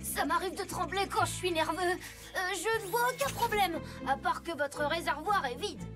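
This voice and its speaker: A woman's voice: very high voice, moderate pace, music in the background. A woman voicing a young boy rescue motorbike in a children's cartoon, eager, earnest and chatty. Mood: nervous. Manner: eager, wide-eyed, chatty. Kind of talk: animated children's cartoon dialogue.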